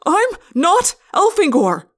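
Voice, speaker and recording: An audiobook character, a woman playing a young male alien cadet. The voice sits high and loud, frustrated and defensive, pleading in anguish. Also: wildly swooping pitch, takes it slowly, heavily acted.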